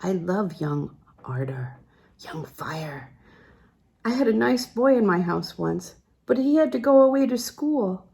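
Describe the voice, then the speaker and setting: A woman reads the part of a melancholy older man in a literary audiobook, confessing his love. The voice is mellow and warm, gentle and earnest, with hesitant phrasing. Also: medium pitch, animated, a little slow, vocal fry. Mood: tender, wistful confession.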